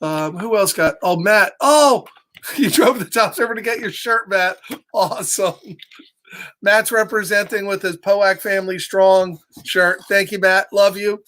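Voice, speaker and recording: A middle-aged man hosting a live children's story time, reading aloud with animated, playful asides and questions to his listeners. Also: high voice, a little slow. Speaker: storybook read-aloud host. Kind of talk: live children's story time, chatting with viewers between lines of the book.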